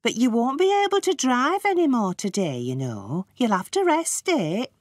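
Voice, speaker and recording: A woman's voice: fairly high pitch, very animated, moderate pace, no echo, clean studio sound. A woman voicing a kindly, fussing village housewife in a children's audiobook. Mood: firm, caring. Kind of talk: children's audiobook character reading.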